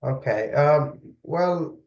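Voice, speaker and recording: Slow, deliberate man. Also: on the high side, a little mellow, highs cut off. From Saudi Arabia. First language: Arabic. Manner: deliberate, searching for words. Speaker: guest expert. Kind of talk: interview conversation.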